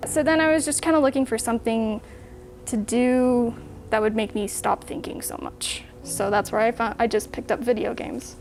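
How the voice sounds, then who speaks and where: Young colorado woman, kinda high voice, hesitant, music bed behind. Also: fairly even intonation, normal speed. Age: young adult. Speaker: student interviewee. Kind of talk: documentary interview.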